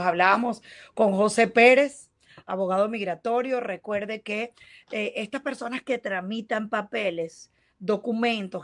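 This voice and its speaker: A woman hosts a news show, chatting with a guest and then speaking straight to her audience. Her voice sits at an ordinary pitch, and her delivery is conversational and advisory. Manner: conversational, advisory, addresses viewers directly. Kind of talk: news interview and advice segment.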